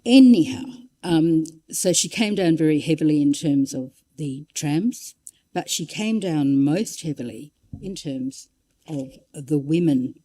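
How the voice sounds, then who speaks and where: Mellow-voiced woman giving a talk. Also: sits low, lively intonation, a little slow. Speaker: public lecturer. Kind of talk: informal illustrated history talk with read-out quotations.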